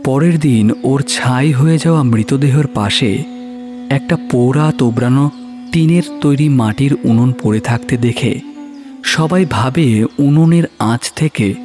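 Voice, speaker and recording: A man's voice: medium pitch, a little slow. A man narrating a horror audio story in a grave, dramatic, suspenseful style. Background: music.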